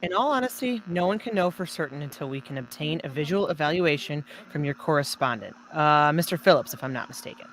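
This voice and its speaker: A woman's voice: low-pitched, lively intonation, fairly bright tone, poor audio. An actress playing a learned, cautious female astronomy professor, speaking precisely as an expert in a radio drama. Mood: measured, cautious. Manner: precise, academic, deliberate. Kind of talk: old-time radio drama expert interview.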